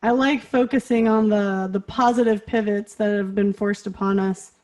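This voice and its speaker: A young woman hosting a podcast, bubbly and playful, with quick laughs and silly asides. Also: mid-range voice, steady tone, darkish tone. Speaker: podcast host. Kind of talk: casual podcast chat.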